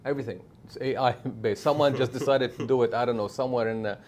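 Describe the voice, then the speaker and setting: A man's voice: medium pitch, fast talker, a little mellow, slight background noise. A middle-aged man whose first language is Arabic, answering interview questions in an emphatic way and often adding "right?" to his points. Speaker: media executive guest.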